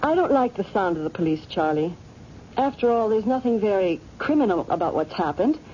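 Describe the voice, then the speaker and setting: Woman playing exasperated but fond wife in old radio drama, soft warm tone, lots of lilt, faint noise. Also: medium pitch, lively intonation, fairly quick, lightly acted. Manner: direct, reasoning. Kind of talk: 1970s radio drama acting. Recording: dull, faintly noisy old radio recording.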